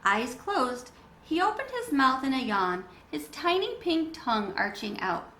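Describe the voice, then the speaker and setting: Animated, high-voiced woman reading to children. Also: measured pace. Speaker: children's read-aloud reader. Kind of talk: expressive storybook narration and explanation for children. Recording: roomy echo with background noise.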